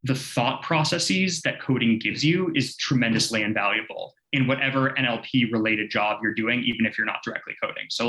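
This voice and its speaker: A man talks casually on a career panel, dropping in filler likes. He speaks quickly in a bright, forceful voice. The sound comes through a video call from a room with a noticeable echo. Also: medium pitch.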